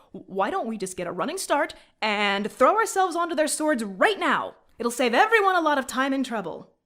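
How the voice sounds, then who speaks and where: Scornful, sharp-tongued woman tactician. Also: high-pitched, very animated, normal speed. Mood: scornful, angry. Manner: curt, sardonic, lecturing, articulate. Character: proud, sharp-tongued female rebel military tactician, stern and scornful. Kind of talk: scripted video game character acting.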